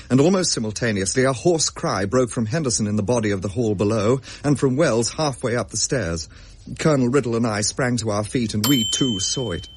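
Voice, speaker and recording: An actor as a haunted young gentleman, husky voice. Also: on the low side. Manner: grave, old-fashioned storytelling with halting stammers. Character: haunted, shaken young gentleman recounting a ghostly encounter. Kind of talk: dramatic period radio drama narration.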